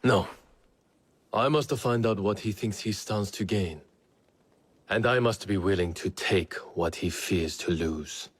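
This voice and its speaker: An actor playing a resolute, measured samurai lord in a video game, speaking formally and gravely. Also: male voice, on the low side, dry recording. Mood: firm, resolute. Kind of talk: dramatic video game acting.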